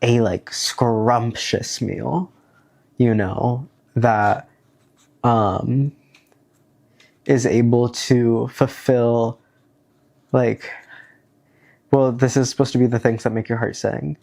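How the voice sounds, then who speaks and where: Nasal, slow, rambling man with a cold. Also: mid-range voice, steady tone, gravelly, a little mellow. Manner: rambling, hesitant, many fillers. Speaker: vlogger. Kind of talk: casual chat, reading worksheet aloud.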